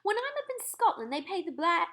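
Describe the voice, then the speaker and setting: A woman's voice: very high voice, sing-song, a little fast, a bit bright, pitched above the performer's own voice, full character voice. A woman voicing a prim, gracious queen in a storybook, speaking in a stately, polite and commanding way. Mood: regal, puzzled.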